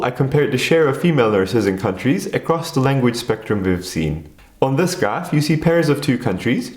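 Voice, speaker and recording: A man from Turkey, a native Turkish speaker, calmly narrating a scripted explainer video. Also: medium pitch, reverberant. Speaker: video essay host.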